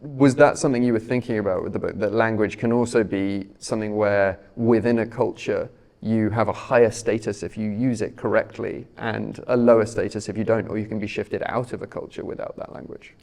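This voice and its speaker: Male interviewer, low gravelly voice, measured, halting phrasing. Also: steady tone, a little mellow. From Britain. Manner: measured, searching for words, with fillers. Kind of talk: onstage author interview.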